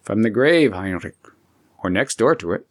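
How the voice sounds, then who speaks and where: A man's voice: on the low side, lively intonation, moderate pace, close to an everyday voice. A man voicing a wry young man back from near death in a storybook, speaking in a dry, teasing manner.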